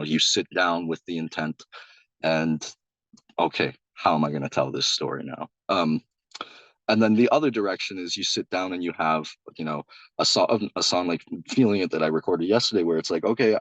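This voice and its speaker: A young man, a singer-songwriter guest, talking loosely in a casual interview, with a loud voice and lots of restarts and "like.". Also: low-pitched, no echo, low-quality recording. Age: young adult. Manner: rambling, many restarts and "like".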